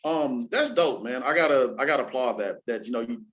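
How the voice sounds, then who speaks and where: A man's voice: mid-range voice, fairly quick, fairly bright tone. A man hosting a casual entertainment chat show, talking in an informal, slangy, exclamatory way and at times reading items aloud. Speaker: talk show host. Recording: dull, lo-fi sound.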